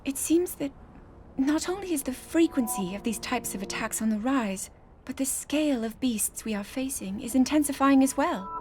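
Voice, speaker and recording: An actress playing an earnest young princess who lectures about nature and research in a game cutscene. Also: female voice, high-pitched, slight background noise. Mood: serious. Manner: earnest, lecturing, quick to excitement. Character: young adult princess, earnest scholarly type. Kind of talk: video game cutscene acting. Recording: game audio with soft music.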